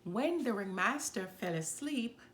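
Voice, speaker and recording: A woman reading a children's storybook aloud with deliberate, expressive delivery. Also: medium pitch, slight background noise.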